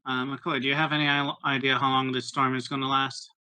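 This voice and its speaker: Guy playing an rpg, super bright sharp voice, medium pitch, halting while he improvises in character. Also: little pitch movement, clear, resonant tone. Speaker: tabletop roleplay player. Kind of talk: improvised in-character tabletop roleplay chat.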